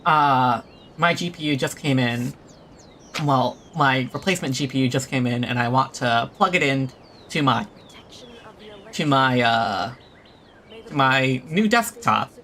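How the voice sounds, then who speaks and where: A game streamer chatting casually to viewers, rambling and low-key with a self-deprecating touch. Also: animated, unhurried, long pauses, fairly bright tone, slight background noise. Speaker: livestreamer playing a video game. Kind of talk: casual livestream chat and game commentary.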